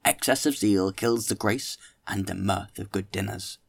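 A man's voice: fairly low pitch, normal speed, creaky, husky. A man voicing a pompous, tipsy young orator who preaches grandly at a dinner in an audiobook. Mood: pompous admonishing.

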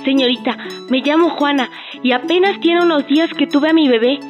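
A woman's voice: sits high, a little fast, music in the background, lightly acted. An actress playing a humble, worried new mother with a Mexican accent, calling a helpline in a radio drama, in dull phone-like sound. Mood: worried, deferential. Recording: dull, band-limited phone-call sound.

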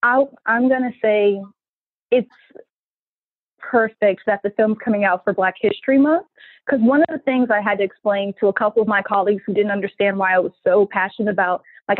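A woman's voice: medium pitch, fairly quick, no echo. A woman engineer talking earnestly and with passion as a guest in an interview, heard over a remote line. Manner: earnest, passionate, quotes people in voices. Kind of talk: casual interview talk. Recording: tinny phone-like line.

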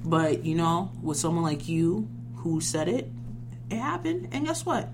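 Young woman, podcast host, slightly low pitch, emphatic, informal, conversational, humming noisy background. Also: very animated, a little slow. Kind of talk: casual, animated conversation. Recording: noisy recording with clear hum.

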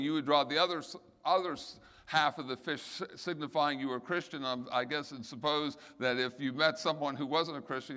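A man teaching a Bible study, halting and restarting phrases and slowing down on key words. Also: mid-range voice, fairly bright tone, smooth. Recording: long hall reverb.

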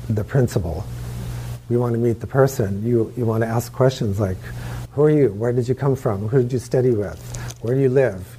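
A man introducing a guest speaker at a temple hall, talking in a halting way with many pauses. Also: medium pitch, warm tone, a little hiss or hum. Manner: halting, full of fillers and restarts. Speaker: host teacher.

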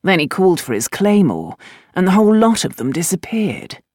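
Languid idle nobleman, voiced by a female narrator, storybook, wry and unhurried, close dry recording. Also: on the low side, very animated, darkish tone, dry recording. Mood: droll. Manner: droll, unhurried, faintly amused. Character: dry, languid, teasing aristocratic man, penniless idler.

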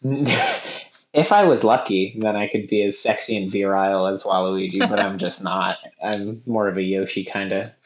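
A man's voice: sits low, fairly even intonation, normal speed, reverberant. A young man co-hosting a podcast in casual chat, rambling with self-mocking jokes. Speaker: podcast co-host. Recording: dull lo-fi sound.

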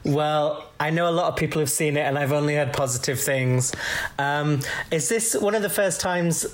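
A man hosting a podcast while full of cold, his nose audibly stuffed. He chats casually with guests, giggling often and filling pauses with ums. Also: on the high side. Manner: giggly, chatty, frequent laughs and ums. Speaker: podcast host. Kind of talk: casual interview chat.

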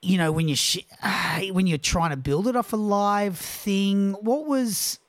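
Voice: medium pitch, a little slow, no echo. Hesitant interviewer, dry sound. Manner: hesitant, frequent agreeing. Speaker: interviewer, host. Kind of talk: casual interview chat.

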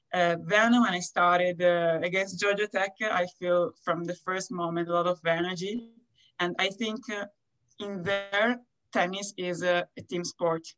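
Young woman, Italian accent, lowish pitch, non-native speaker, halting delivery, searching for words, athlete interview answers. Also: a bit bright, rough recording. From Italy. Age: young adult. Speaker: college tennis player being interviewed.

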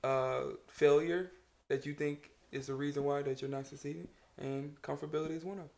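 A man's voice: on the high side, steady tone, fairly quick, vocal fry, a little mellow. A man co-hosting a casual podcast chat, making dry, teasing remarks. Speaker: podcast co-host.

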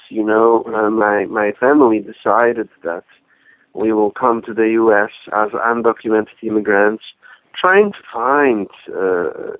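Low-voiced Polish-accented man, dry sound. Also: no room sound, narrow, tinny audio. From Poland. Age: 40s. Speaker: podcast guest, activist and author. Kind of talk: reflective personal storytelling in interview.

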